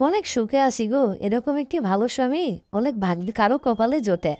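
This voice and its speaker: A woman's voice: fairly high pitch, animated, quick pace, warm tone, no echo, lo-fi sound, lightly acted. A woman voicing a contented, chatty young fox wife in a cartoon story.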